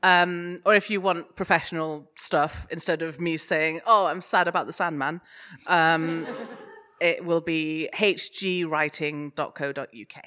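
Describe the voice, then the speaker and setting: Bright-voiced woman speaking on a panel. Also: low-pitched, steady tone, measured pace. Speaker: guest speaker, audio fiction producer. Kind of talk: informal panel talk. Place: live panel at a podcast festival.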